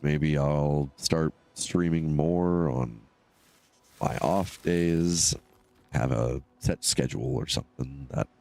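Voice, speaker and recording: Very deep-voiced, congested man streaming. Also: creaky, a little mellow. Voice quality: nasal, stuffed-up. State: congested from allergies. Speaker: live game streamer. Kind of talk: casual solo gameplay commentary.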